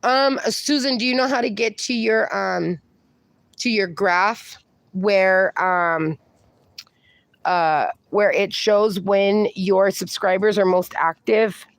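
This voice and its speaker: Reassuring woman host of a casual livestream, mid-pitched, dry close mic, lots of chatty fillers. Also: steady tone, slow pace, no echo. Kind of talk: casual livestream chat reading comments.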